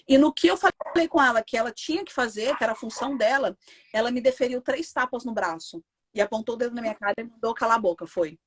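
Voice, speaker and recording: A woman's voice: a little high, quick pace. A Brazilian woman with a Brazilian Portuguese accent, a mother telling an interviewer her story in a casual, emphatic, indignant way.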